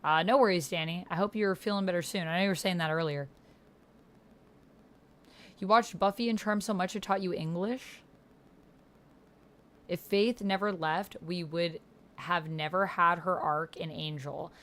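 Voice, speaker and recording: A woman's voice: on the low side, fairly even intonation, normal speed, long pauses, fairly bright tone. A woman in her thirties chatting informally and playfully as she streams, reading out typed roleplay and reacting to it. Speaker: streamer and player. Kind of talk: casual livestream chat, reading typed roleplay aloud.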